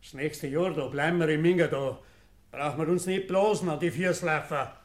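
A man's voice: on the high side, moderate pace, echoey room, lightly acted. A man playing a conciliatory, grumbling pilgrim with a Bavarian accent in a radio play. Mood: resigned grumbling. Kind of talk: historical radio play acting.

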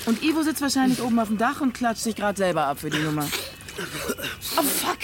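A woman's voice: on the high side, sing-song, a little fast, background noise. An actress in a radio crime drama playing a sarcastic, street-smart young woman who is brusque and slangy. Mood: urgent, bossy. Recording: studio radio play with loud music behind.

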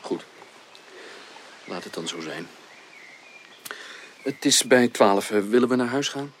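An actor playing an ardent, easily wounded young gentleman suitor in a radio drama, earnest and hesitant in formal speech. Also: medium pitch, animated, slow, a little hiss or hum. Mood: resigned. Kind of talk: 1980s Dutch radio drama acting.

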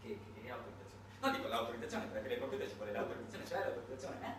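A man's voice: medium pitch, big pitch swings, rapid-fire, background noise, voice pushed down. A man voicing a stubborn, bossy investigator who badgers and gets angry about rules, in a satirical stage monologue. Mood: scornful. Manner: badgering, repetitive. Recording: very echoey room, far from mic.

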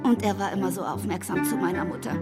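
An actress playing a gushing, rambling elderly spinster in a radio play, jumping from thought to thought. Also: female voice, medium pitch, fast, natural voice. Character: chattering elderly spinster, kindly and breathless. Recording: studio radio play with long reverb and music.

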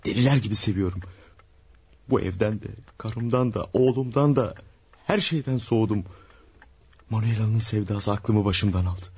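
A man's voice: fairly low pitch, moderate pace, long pauses, slightly rough. An actor in a radio drama playing a tormented middle-aged husband who is obsessed with another woman. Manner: pleading, then shouting in anger.

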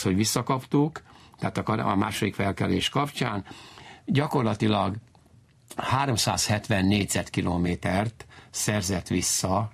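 A man giving an unscripted historical explanation as an interviewed historian, halting and digressive, often correcting himself. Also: fairly low pitch, gravelly, husky.

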